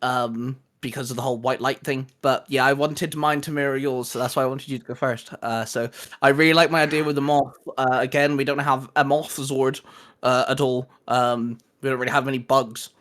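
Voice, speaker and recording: A man co-hosting a fan channel, chatting casually and geekily as he pitches creative ideas. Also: fairly even intonation, a little fast, fairly bright tone.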